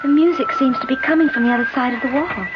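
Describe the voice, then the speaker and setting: An actress playing a wistful, hesitant young woman full of halting wonder in a radio drama. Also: high-pitched, very noisy, natural voice. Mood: awed, delighted. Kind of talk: 1970s radio drama acting. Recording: dull lo-fi old radio recording. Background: music.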